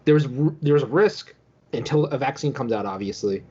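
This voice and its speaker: A man's voice: medium pitch, moderate pace, dark tone, breathy edge, highs cut off. A man speaking as a panelist in a casual podcast discussion.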